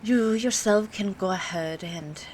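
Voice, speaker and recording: A woman acting an aloof, teasing old vampire in an ASMR roleplay, speaking softly and formally with hesitant pauses, often near a whisper. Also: medium pitch, natural voice. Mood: calm, explaining. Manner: formal, hesitant, haughty phrasing with drawn-out pauses. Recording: clear background ambience noise. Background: rain, city ambience, soft music.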